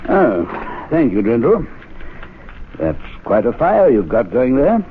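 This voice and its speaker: An actor playing a genteel, wry, probing gentlemen's club president in a 1970s radio drama. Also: male voice, mid-range voice, huge pitch swings, takes it slowly, a little hiss or hum. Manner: urbane, probing, ornate phrasing. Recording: tinny old radio broadcast.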